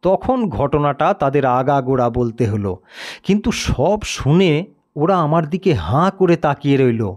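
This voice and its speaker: Guy reading an audio story, really slow, super animated dramatic narration. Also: a little high. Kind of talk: dramatic scripted first-person story narration.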